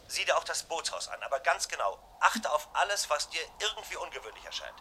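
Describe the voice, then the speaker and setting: Urgent, swooping higher-voiced man. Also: big pitch swings, quick pace, sharp tone, heavily acted. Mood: urgent, commanding. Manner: insistent, clipped instructions, breaking off mid-thought. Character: anxious, urgent man hiding alarm from his beloved. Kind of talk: German radio crime drama acting. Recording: noisy vintage radio play recording.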